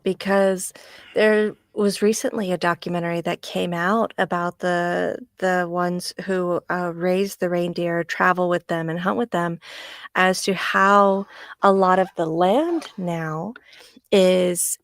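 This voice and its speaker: Low, gravelly woman in casual talk. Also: fairly even intonation, slow, no room sound, studio quality. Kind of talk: casual chat. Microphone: video call.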